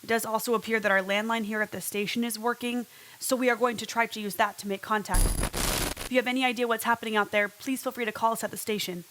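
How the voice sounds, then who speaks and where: An anxious radio host played by a woman keeps a polite, composed front in a call-in drama. Her voice sits a little high and hesitates earnestly, over a clearly noisy line with clicks. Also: steady tone, quick pace, a bit bright, lightly acted. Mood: tense, composed.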